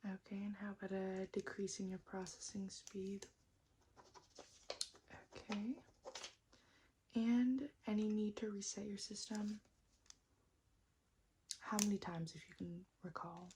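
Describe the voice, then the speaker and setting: A woman doing a soft-spoken, often whispered ASMR roleplay as a technician, gently reassuring and repeating words under her breath, close to the mic. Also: medium pitch, steady tone, a little slow, long pauses, background noise. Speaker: ASMR performer role-playing a robot technician.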